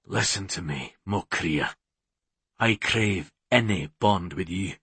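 A man playing an intense, devoted werewolf warrior from a romance audiobook, brusque and pleading, in a hoarse low murmur. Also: fairly bright tone, no echo, full character voice.

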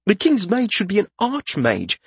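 A man's voice: sits high, wildly swooping pitch, moderate pace, gravelly, warm tone, breathy edge, dry recording, pitched above the performer's own voice, exaggerated voice. A man voicing an earnest, self-doubting young mage in an audiobook, with an indignant, pleading manner. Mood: flustered disbelief. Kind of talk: audiobook character voice.

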